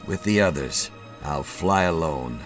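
Man playing a low, weary, nonchalant captain. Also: unhurried, faint music behind. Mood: weary resolve. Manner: understated, nonchalant, clipped heroics. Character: weary, careless, heroic male air force captain.

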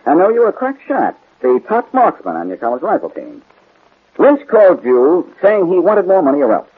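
A man's voice: on the high side, wildly swooping pitch, moderate pace, slightly rough, exaggerated voice. A man playing a confident, wisecracking amateur detective in a 1940s radio crime drama, glib and pressing in his questioning. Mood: pressing, accusing. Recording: muffled narrow-band vintage 1940s radio recording.

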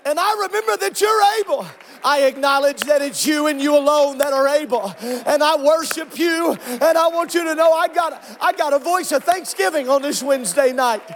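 A male pastor preaching and praying with fervour, repeating phrases, out of breath and swinging from shouts to low murmurs. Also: moderate pace, slight background noise. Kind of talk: Pentecostal preaching and prayer. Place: church sanctuary with congregation.

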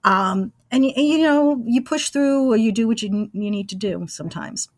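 A woman's voice: a little high, normal speed, dry recording. An American woman in her sixties from Brooklyn, chatting casually and easygoing as she tells anecdotes as an interview guest. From Brooklyn, New York, USA. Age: 60s. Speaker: interview guest, former college radio engineer. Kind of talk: casual reminiscing.

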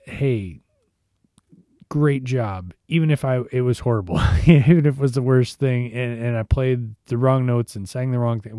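A man with a hoarse, mellow, rather dark voice gives a relaxed devotional talk. He speaks conversationally, often restarting his words. The recording is close and dry, with no room sound. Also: mid-range voice, dry recording. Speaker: devotional podcast host, church musician.

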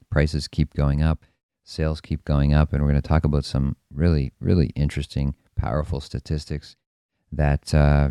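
Deep hoarse guy doing his podcast, flat monotone, smooth clear sound. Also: no echo, studio quality. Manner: loose, with many fillers and restarts. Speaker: podcast host. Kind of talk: solo podcast talk.

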